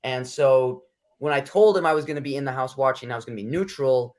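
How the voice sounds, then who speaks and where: A man talks through his interview answers in a loose, rambling way. He hedges and restarts often, filling gaps as he goes. His pitch sits in an ordinary middle range for a man. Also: quick pace, darkish tone. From United States. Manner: rambling, self-conscious, hedging with fillers. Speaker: interview guest. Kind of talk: casual interview chat.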